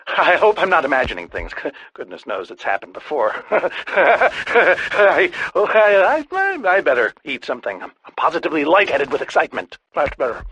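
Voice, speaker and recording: Nerdy lonely researcher game character, pompous n wordy, pitch all over the place, super dry sound. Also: male voice, wildly swooping pitch, moderate pace, sharp tone, breathy edge, no echo, lo-fi sound, heavily acted. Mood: giddy. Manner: theatrical, verbose, pompous scholarly diction. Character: eccentric, lonely, excitable male researcher obsessed with studying creatures. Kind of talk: animated video game voice acting.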